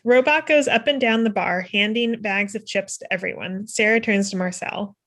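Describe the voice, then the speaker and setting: A woman's voice: medium pitch, moderate pace, a bit bright. A young woman narrating stage directions from a script over a video call. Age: young adult.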